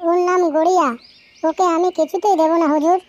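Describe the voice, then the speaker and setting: A performer voicing a stubborn, pleading young village boy in an animated cartoon story. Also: very high voice, a little fast, no echo. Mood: defiant pleading.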